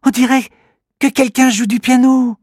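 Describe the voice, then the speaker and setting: Male reader voicing a bossy little girl, very high, squeaky, husky. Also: fairly even intonation, normal speed, a little mellow, dry recording, studio quality. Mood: amazed. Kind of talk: children's storybook reading.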